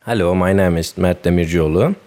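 A man in his thirties from Turkey, a kanun player, introducing himself in halting, simple phrases. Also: low-pitched, darkish tone. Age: 30s.

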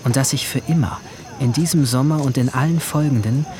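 A man's voice: mid-range voice, brisk, darkish tone, close to an everyday voice. A man playing an anguished, withdrawn seventeen-year-old boy, narrating a radio drama in a subdued, introspective, literary first person. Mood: anxious, brooding. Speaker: narrator and main character.

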